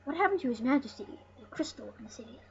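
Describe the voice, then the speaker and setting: Female let's play host, higher register, rambling and jokey, sweary at times, on a dull, slightly noisy mic. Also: expressive, racing, creaky. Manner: joking, rambling, casually sweary. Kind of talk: casual gameplay commentary, reading game dialogue aloud. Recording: muffled lo-fi mic with background noise.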